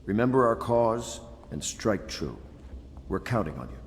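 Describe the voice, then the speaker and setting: An actor playing a grave, resolute middle-aged leader of loyalist conspirators in a video game, giving a firm briefing. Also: male voice, fairly low pitch, big pitch swings, a little slow. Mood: grave, determined. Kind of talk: video game character acting. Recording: long hall reverb, faint noise.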